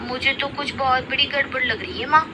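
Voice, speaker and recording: Spoiled rich younger sister-in-law for a story drama, female performer: high voice, showy, accusing, noisy recording. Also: steady tone, brisk, natural voice. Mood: suspicious. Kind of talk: Hindi moral story acting.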